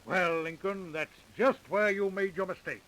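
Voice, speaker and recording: An actor playing a shrewd, self-assured police detective in a 1930s radio crime drama. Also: male voice, high voice, moderate pace, a bit bright, rough recording. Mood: triumphant. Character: confident, shrewd, persistent male police detective. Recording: vintage 1930s radio recording.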